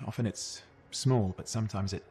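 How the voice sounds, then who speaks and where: A man's voice: on the low side, normal speed, warm tone, close to an everyday voice. A man acting a chatty, restless young sidekick in a video game, with jokey, boastful banter that hides some insecurity. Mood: candid.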